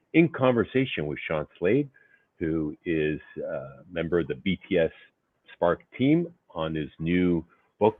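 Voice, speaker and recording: A man's voice: low voice, no room sound. A man hosting a formal interview series, reading introductions and putting questions to a guest. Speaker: interview host, organization CEO. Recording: muffled phone-like audio.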